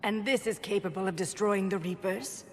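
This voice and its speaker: A woman's voice: medium pitch, normal speed, darkish tone, slight background noise, lightly acted. A woman playing a composed, cool alien diplomat in a video game, poised and formal. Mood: cool, questioning. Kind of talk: dramatic video game acting. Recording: echoing hall, faint background ambience.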